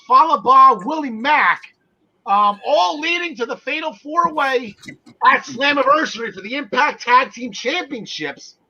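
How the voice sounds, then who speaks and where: A man with a high, sharp voice hosts a wrestling talk show. He runs through recaps with animated emphasis and hands off to his panel. The audio sounds a little muffled and narrow. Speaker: podcast host.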